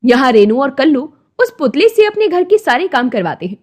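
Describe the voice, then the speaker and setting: A woman's voice: sits high, big pitch swings, brisk, a little mellow. A woman narrating a scripted moral story as its storyteller.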